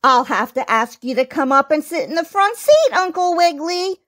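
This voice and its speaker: A woman voicing a prim lady mouse schoolteacher with a squeaky voice, politely scolding in a children's storybook. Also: expressive, moderate pace, a bit bright. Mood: reproachful. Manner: polite, reproachful.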